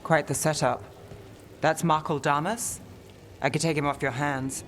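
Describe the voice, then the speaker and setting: A man's voice: on the high side, steady tone, measured pace, fairly bright tone, a little hiss or hum. A man playing a cool, determined fantasy detective with a terse, dry, businesslike way of talking in a video game. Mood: guarded, serious.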